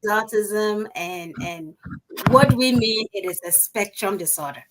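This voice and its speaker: A woman hosting an online interview, welcoming her guest in a warm, upbeat way. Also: medium pitch, lively intonation, measured pace, very noisy. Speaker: host.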